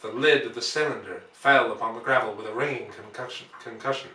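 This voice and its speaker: A man reading a classic novel aloud as dramatic narration, with measured, theatrical phrasing. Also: mid-range voice, bright, forceful tone, slight background noise. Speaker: audiobook reader. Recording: roomy echo, distant microphone.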